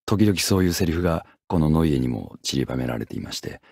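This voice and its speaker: A male voice actor speaks to fans in a formal, measured way. His voice is very low and a bit rough, close and dry. He pauses hesitantly as he goes. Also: expressive, darkish tone, dry recording. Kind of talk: polite recorded comment to fans.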